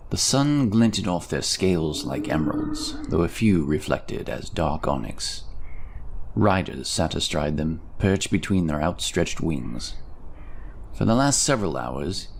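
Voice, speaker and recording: A man narrating a scripted fantasy audio story in a measured, dramatic way. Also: deep voice, vocal fry, slight background noise. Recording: music behind the voice. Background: music.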